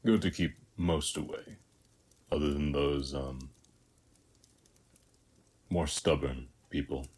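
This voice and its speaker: An actor playing a calm, hospitable warrior who guards a seized temple, speaking in an unhurried, measured way in an audio roleplay. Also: male voice, very deep voice, slightly rough, heavily acted. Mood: solemn, frank. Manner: unhurried, measured, with long pauses between short phrases. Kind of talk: scripted audio roleplay, speaking softly to the listener.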